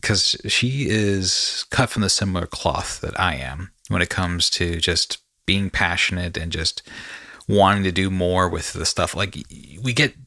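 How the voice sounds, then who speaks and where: A man's voice: deep voice, measured pace, bright, forceful tone, no room sound, studio quality. A man hosting a podcast in a casual, chatty way, effusive and supportive toward the guest. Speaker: podcast host.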